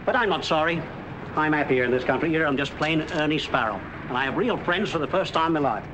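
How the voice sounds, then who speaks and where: A man's voice: fairly high pitch, lively intonation, fast talker, close to an everyday voice. An actor playing a friendly, folksy, plain-spoken middle-aged working man in a 1940s film. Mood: cheerful. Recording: noisy old 1940s film soundtrack.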